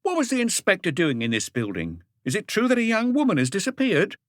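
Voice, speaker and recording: A man voicing a pushy, mocking male newspaper reporter in an audiobook, loud and needling. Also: sits high, wildly swooping pitch, fairly quick, no room sound, studio quality, voice pushed up, full character voice. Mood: insistent.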